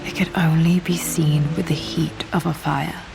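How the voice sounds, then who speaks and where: An actress playing a thoughtful young British woman explorer in a video game, musing softly to herself. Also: low voice, little pitch movement, moderate pace, vocal fry, noisy background. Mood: quiet, curious. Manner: soft, hushed, reflective.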